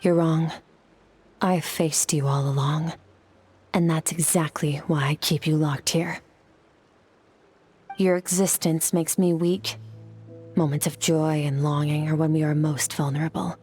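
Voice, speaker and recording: A woman voicing a cold, stoic swordswoman in a video game, stern, detached and measured. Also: low-pitched, steady tone, darkish tone, breathy edge, faint music behind.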